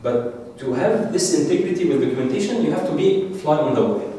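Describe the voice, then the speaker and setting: Middle-aged Egyptian-accented male lecturer, halting, mic'd distantly in a reverberant dark screening room. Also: fairly high pitch. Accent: Egyptian Arabic. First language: Arabic. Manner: halting, repeats words, animated. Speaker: masterclass speaker. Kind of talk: informal lecture to an audience. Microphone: handheld mic, filmed from the back of the room. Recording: echoey room, distant mic, faint hiss.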